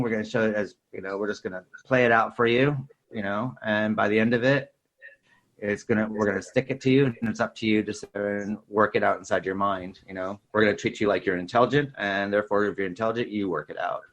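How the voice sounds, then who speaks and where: A man's voice: fairly low pitch, fairly even intonation, fast talker, lo-fi sound. A man speaking as a podcast host in a casual film discussion, often tacking small verbal tags onto his sentences. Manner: repeats verbal tags like "sort of thing".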